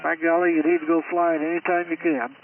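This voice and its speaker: A man talking casually over two-way radio, folksy and unhurried, as an amateur operator. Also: fairly high pitch, monotone. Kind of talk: casual chat and explanation over ham radio. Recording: distant, tinny radio with faint static.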